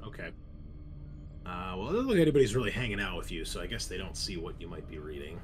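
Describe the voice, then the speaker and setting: A man narrating a tabletop roleplaying game as game master, casual and halting as he improvises descriptions. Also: sits low, expressive, soft background music.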